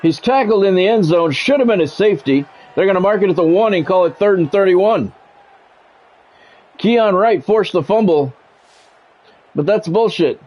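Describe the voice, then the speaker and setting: A man's voice: high voice, expressive, normal speed, pauses often, a little mellow, highs cut off. A middle-aged man doing live football game commentary mixed with casual chat, talking in a blunt, profane, conversational way. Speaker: game streamer and play-by-play commentator.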